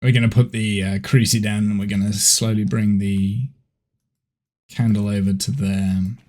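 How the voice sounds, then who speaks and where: A man's voice: fairly low pitch, little pitch movement, normal speed, a little mellow. A man in his thirties with a head cold, chatting casually and rambling as he streams a horror game from home. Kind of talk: casual gameplay chat on a live stream.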